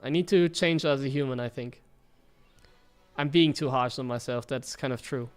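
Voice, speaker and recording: A man's voice: medium pitch, moderate pace. A young German man with a German accent, German being his first language, streaming and chatting frankly about his own gameplay while criticizing himself in a rambling way.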